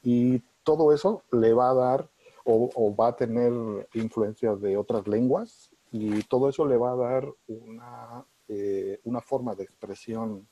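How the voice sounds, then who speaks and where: A man, a professor from Spain, explaining things in a relaxed interview with frequent pauses and restarts. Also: medium pitch, husky, dull audio. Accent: neutralized Spanish, mixing Spain and Mexico.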